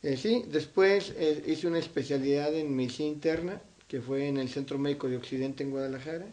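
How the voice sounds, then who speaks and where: A man's voice: a little high, a little fast, a little mellow. A middle-aged man with a central-northern Mexican accent, answering as an interview guest in casual conversational recollection. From Zacatecas, Mexico. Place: his own home, indoors.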